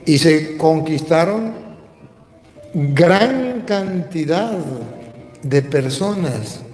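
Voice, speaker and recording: Slow, echoey Venezuelan priest preaching. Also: male voice, a little high, a little mellow. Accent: Venezuelan Spanish. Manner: didactic, emphatic, repeats phrases for stress. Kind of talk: religious teaching, question and answer talk. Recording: echoey hall with long reverb.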